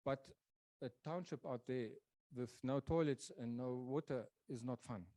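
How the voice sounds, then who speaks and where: A man presents a public lecture in a mellow, warm voice, thinking aloud as he goes and often pausing or restarting. The sound is dry and close, with little room around it. His pitch sits in an ordinary middle range. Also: no room sound. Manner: thoughtful, pausing with many fillers and restarts. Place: lecture venue.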